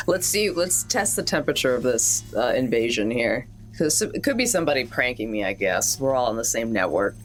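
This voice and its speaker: A woman's voice: a bass voice, very animated, normal speed, soft background music. A woman chatting casually and a little hesitantly as she improvises as a player in a tabletop roleplaying game.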